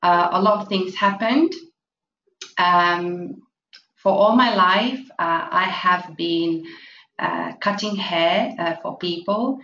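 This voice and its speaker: A woman from Latvia presenting an investment opportunity, speaking halting full of fillers. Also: on the low side, fairly bright tone. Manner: halting, many fillers, non-native grammar. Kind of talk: promotional presentation. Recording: echoey, distant, dull lo-fi with faint noise.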